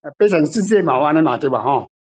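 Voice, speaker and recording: A man's voice: a little high, expressive, racing. An older man with a Taiwanese accent chatting casually about a story as its author, rambling through anecdotes and repeating phrases. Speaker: author guest discussing their story. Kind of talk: casual literary discussion.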